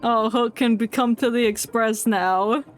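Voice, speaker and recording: A woman's voice: on the high side, steady tone, measured pace. A woman giving casual, rambling livestream commentary on a game and reading its text aloud. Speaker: game streamer. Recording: game music faintly behind the voice.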